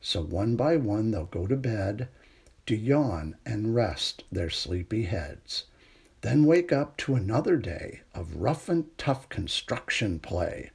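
A middle-aged man reading a children's picture book aloud in a gentle, unhurried bedtime manner, with a husky, creaky voice. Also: on the low side, sing-song. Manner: gentle, unhurried bedtime delivery with hushed refrains. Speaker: storytime reader.

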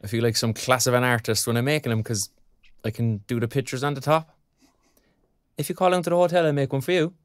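An actor with an Irish Cork accent playing a restless, sarcastic young graduate in a naturalistic audio drama. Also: male voice, mid-range voice, fairly even intonation, fast talker, no echo, clean studio sound, natural voice. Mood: chatty. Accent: Irish, County Cork. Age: young adult. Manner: colloquial, sardonic.

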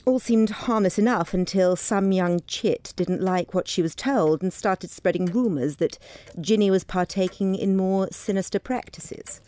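Radio play, actress playing wry local woman spinning dark folklore, mellow delivery, ominous. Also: mid-range voice, measured pace, lightly acted. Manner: storytelling, ominous. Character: wry local woman telling a witch legend. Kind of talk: radio drama acting.

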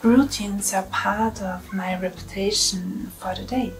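A woman's voice: medium pitch, steady tone, measured pace, poor audio. A woman in her thirties, a native German speaker, talking hesitantly in a home vlog with fillers and careful phrasing. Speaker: vlogger. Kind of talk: first-time talking vlog. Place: bright indoor room at home. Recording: music bed under the voice.

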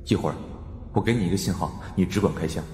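A man's voice: low voice, fast talker, warm tone, faint music behind, lightly acted. A man voicing a stern, commanding male investigator in an audiobook, terse and menacing. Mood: low, commanding. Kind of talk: audiobook character acting. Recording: long hall-like reverb on voice.

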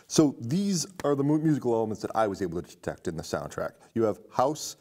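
A man's voice: mid-range voice, sing-song, quick pace, darkish tone. A middle-aged man discussing films casually in an explanatory, conversational way on a studio show. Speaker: film discussion show panelist. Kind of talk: casual film critique. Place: indoor studio with curtains, seated.

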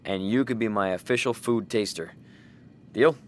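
A man playing a weary, sardonic single father, gruff but caring, who swears when defensive. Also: on the low side, normal speed, slight background noise, lightly acted.

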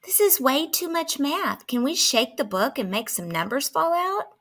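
A woman's voice: high voice, sing-song, normal speed, a bit bright, pitched above the performer's own voice, exaggerated voice. A woman playing a grumpy, dramatic math skeptic who complains and exclaims in a children's storybook. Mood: exasperated.

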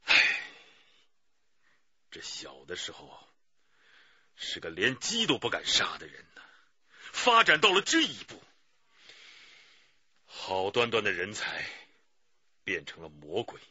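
An actor playing a grave, righteous middle-aged police chief in a radio drama, with a husky voice. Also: male voice, lowish voice, wildly swooping pitch, slow, pauses often, bright, forceful tone, dry recording, muffled recording, heavily acted. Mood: grave, pained. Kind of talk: Chinese radio drama acting.

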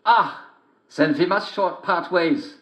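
A man voicing a sly wolf in a squeaky, put-on voice, hesitant and fawning as he talks. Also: slow, fairly bright tone. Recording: dull, roomy sound.

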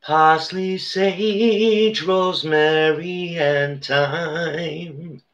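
A man's voice: fairly high pitch, fairly even intonation, very slow, a bit bright, highs cut off. An older man co-hosting a live cooking stream from his home kitchen, with dry, teasing banter. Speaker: co-host and cook. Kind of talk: casual live-stream chat.

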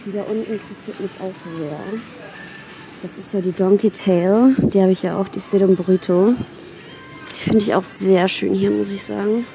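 A young woman vlogging casually and excitedly while browsing plants in a large garden center, sometimes dropping to a whisper. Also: medium pitch. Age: young adult. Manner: excitable, chatty, often whispering asides. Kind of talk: casual shop-with-me vlog. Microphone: handheld phone camera.

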